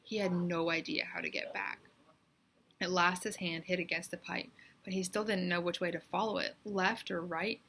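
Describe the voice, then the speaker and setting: A woman narrates a children's story like a teacher reading aloud. Her voice sits a little low but sounds bright and forceful. The delivery is expressive, deliberate and suspenseful. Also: slightly rough.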